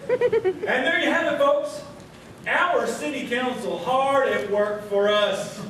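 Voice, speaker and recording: Slow, high-pitched, folksy American male host. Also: lively intonation, noisy background. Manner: joking, folksy. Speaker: host and radio announcer of a stage show. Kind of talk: folksy live comedic emceeing. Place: live stage before an audience. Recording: echoey hall, distant mic, faint crowd.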